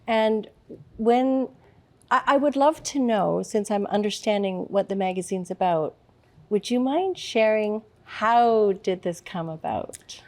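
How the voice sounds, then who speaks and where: A woman hosting a talk show, asking halting, hesitant interview questions. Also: mid-range voice, a little mellow.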